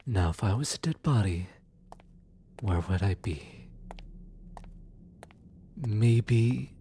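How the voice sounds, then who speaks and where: A performer playing a gentle, awkward demon soul retriever in an ASMR audio roleplay, speaking softly and hesitantly, often close to a whisper. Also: mid-range voice, expressive, background noise. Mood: uneasy muttering. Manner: hesitant, halting, sighing, muttering to self.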